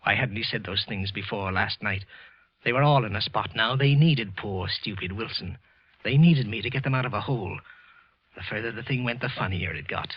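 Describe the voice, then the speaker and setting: A man's voice: fairly low pitch, moderate pace, husky. A man acting a nervous, resentful clerk who secretly killed, with a hesitant, evasive manner, in an old-time radio suspense play. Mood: bitter, gloating. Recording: muffled vintage radio recording, background music.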